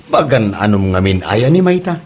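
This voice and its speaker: A man's voice: on the low side, sing-song, unhurried, husky, full character voice. A man playing an easygoing, amused neighbour in a comedy drama, with an Ilocano accent. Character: easygoing older-brother-like male customer, amused and approving.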